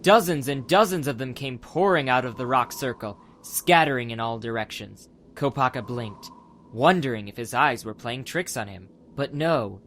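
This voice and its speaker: A male narrator with a mid-pitched voice reads a scripted adventure story in a dramatic audiobook style. Faint ambient noise sits behind his voice. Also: moderate pace, a bit bright.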